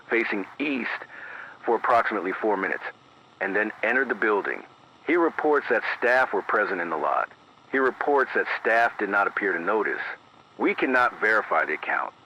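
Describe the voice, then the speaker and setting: Casting: male actor as emergency radio announcer for analog horror drama. Low, forceful, grave and deliberate, with formal bulletin delivery over dull, hissy radio. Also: full character voice. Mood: grave, uneasy restraint. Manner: formal, deliberate, careful, repetitive phrasing. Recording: band-limited radio sound with hiss.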